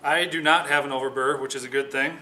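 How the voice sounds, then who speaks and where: A young man calmly explaining, step by step, how to sharpen speed skates, pausing now and then to restart a phrase. He is recorded in a large office room with a whiteboard. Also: medium pitch, far from the mic, a little hiss or hum. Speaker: speed skating sharpening instructor. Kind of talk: instructional demonstration. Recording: echoey room, voice from off-mic.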